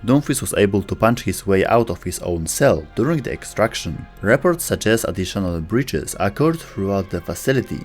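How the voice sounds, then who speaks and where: A man's voice: on the low side, big pitch swings, normal speed, hardly any pauses, soft background music. A man giving scripted, documentary-style narration for a game lore video.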